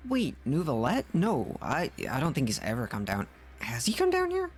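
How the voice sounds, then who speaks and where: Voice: mid-range voice, huge pitch swings, moderate pace. A streamer giving casual live commentary on a game, reacting and thinking aloud.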